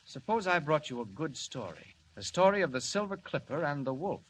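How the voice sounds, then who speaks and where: An actor playing a polite, unflappable, self-assured young cub reporter in a 1940s radio drama. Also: male voice, fairly high pitch, very animated, brisk, a bit bright. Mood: polite, composed. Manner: courteous, composed, self-assured. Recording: faintly noisy old 1940s radio recording.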